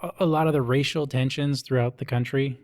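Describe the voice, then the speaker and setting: A man's voice: medium pitch, steady tone, a little fast, a little mellow. Explanatory man from Hawaii in conversation. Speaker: police officer interviewee. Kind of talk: conversational interview talk.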